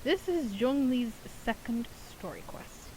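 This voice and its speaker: A woman's voice: fairly high pitch, lively intonation, measured pace, warm tone, low-quality recording. Rambling woman doing gameplay commentary, faint hiss. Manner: rambling, conversational. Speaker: gaming content creator.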